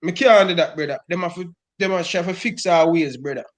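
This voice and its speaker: High-voiced Jamaican man ranting. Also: fast. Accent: Jamaican Patois. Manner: emphatic, repeats "brother" constantly. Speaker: football fan guest. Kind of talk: impassioned fan rant.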